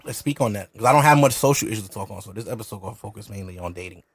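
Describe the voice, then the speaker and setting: A man's voice: lowish voice, lively intonation, very fast, a little hiss or hum. A man hosting a podcast in a studio. He chats loosely and profanely while drinking, brash and provocative, and laughs often. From Brooklyn, New York. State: drinking alcohol while recording. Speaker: podcast host. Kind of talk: loose, profane casual chat.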